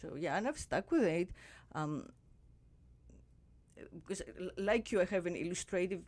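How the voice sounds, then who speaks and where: A woman podcast host in casual conversation, reflective and musing, drifting softer as she thinks aloud. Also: on the low side, lively intonation, measured pace.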